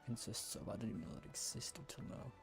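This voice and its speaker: A man playing a bewildered, flustered character in a video game, gravelly and halting. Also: on the low side, music in the background.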